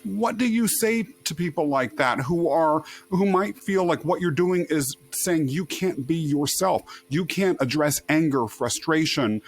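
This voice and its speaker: A man hosting a podcast interview with a voice pitched a little high for a man. He talks expressively and at length, winding through his questions with restarts and asides. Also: lively intonation, a little slow, hardly any pauses. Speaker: podcast host.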